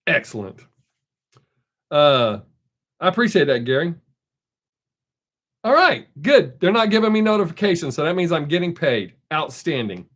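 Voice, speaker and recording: A man's voice: fairly high pitch, sing-song, moderate pace, long pauses, a bit bright. A middle-aged man, hosting a casual live stream and chatting with viewers. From American South. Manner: chatty, rambling, reads chat aloud. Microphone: desk microphone.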